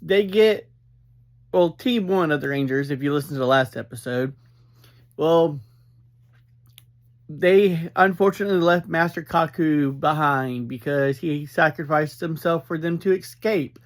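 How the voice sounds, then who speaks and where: A man hosting a casual, rambling review, upbeat and emphatic, who speaks haltingly and gets easily sidetracked. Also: on the high side. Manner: upbeat, energetic. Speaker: podcast reviewer and host.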